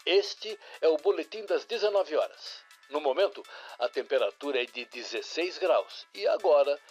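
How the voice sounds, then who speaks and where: A man's voice: on the high side, animated, soft background music. A man playing a formal, polished nineties radio news announcer with a Brazilian accent, reading a scripted bulletin in an audio drama. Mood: upbeat. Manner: formal, deliberate. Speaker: radio newsreader.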